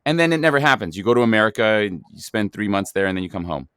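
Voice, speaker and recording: Canadian male podcast host; conversational, lowish voice, dry recording. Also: very animated, very fast, fairly bright tone, clean tone, no echo. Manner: conversational, asks long questions. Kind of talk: casual interview.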